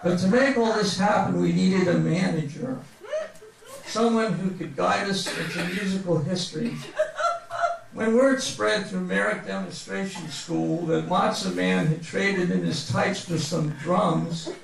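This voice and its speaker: Male author at a live reading, gravelly edge, comic mock-grandiose storytelling, recorded far from the mic in a reverberant space. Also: sits high, measured pace, crowd noise. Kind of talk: humorous memoir reading to a live audience. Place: bookstore reading event before an audience. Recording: very echoey room, distant mic.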